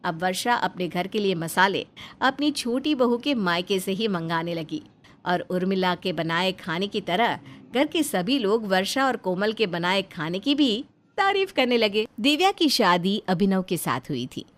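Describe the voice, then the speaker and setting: A woman's voice: mid-range voice, animated, a little slow. A woman reading scripted narration as the storyteller of a cartoon family tale.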